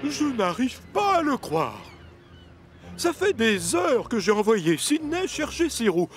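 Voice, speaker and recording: An actor playing an exasperated, bossy railway controller in a cartoon. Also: male voice, extremely high pitch, huge pitch swings, slow, heavily acted. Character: fussy railway controller man.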